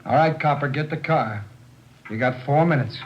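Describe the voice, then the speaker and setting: An actor playing a desperate, bullying criminal in a 1950s radio drama, sneering in street slang. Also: male voice, medium pitch, moderate pace, dull audio, natural voice. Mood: commanding. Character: volatile armed bomber, a desperate male criminal holding police hostage. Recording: noisy old 1950s radio recording.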